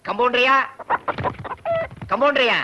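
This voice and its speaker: A man's voice: extremely high pitch, unhurried, over music, poor audio. An actor playing an earnest village man who has brought a sample for a test on his cow.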